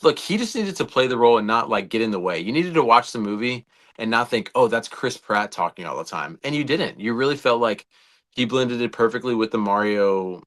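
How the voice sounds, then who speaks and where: A man's voice: medium pitch, animated, brisk, a bit bright. An American man co-hosting a show, explaining his opinions in an emphatic, conversational way. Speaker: show co-host. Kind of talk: casual opinionated chat.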